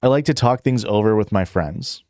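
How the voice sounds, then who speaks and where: A man's voice: fairly low pitch, normal speed, dark tone, no echo, clean studio sound. A young adult man streaming live, reading questions aloud and answering in a rambling, casual way full of fillers and restarts. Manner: rambling, filler-heavy, self-correcting, chuckles.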